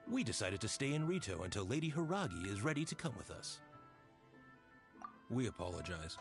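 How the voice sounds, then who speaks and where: An actor playing a polite, dutiful soldier in a video game, speaking formally and courteously. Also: male voice, fairly low pitch. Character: polite, dutiful male soldier under strict orders. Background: game music.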